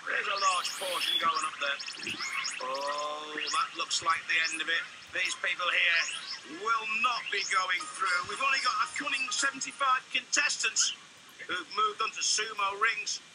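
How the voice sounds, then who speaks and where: A man's voice: high voice, measured pace, music in the background, low-quality recording. A man doing cheeky, wisecracking voice-over commentary on game show footage, mock-serious and joking. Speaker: comedy commentator.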